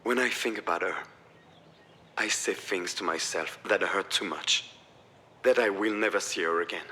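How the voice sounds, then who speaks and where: A man's voice: low-pitched, moderate pace, sharp tone, slight background noise. An actor playing a grieving, self-blaming father in a narrative video game, weary and wounded in his delivery. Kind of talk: acted visual novel dialogue.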